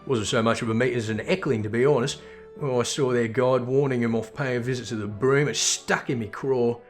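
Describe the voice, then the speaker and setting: A man's voice: mid-range voice, fairly even intonation, fairly quick, soft background music, lightly acted. A man putting on a blunt, streetwise slum woman. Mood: reflective, blunt. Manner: colloquial, salty working-class British phrasing. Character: blunt, streetwise slum woman leading commoners, plain-spoken.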